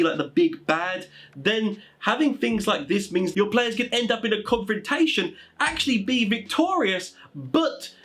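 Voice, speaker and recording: A man's voice: high-pitched, fairly bright tone. A man talking informally as a host giving tabletop game advice, in a chatty, rambling, enthusiastic way.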